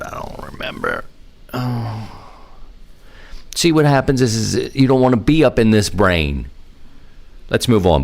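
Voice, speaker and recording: A man hosting a podcast in a casual radio style, with a playful, folksy, rambling manner and self-deprecating asides. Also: low voice. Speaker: podcast host.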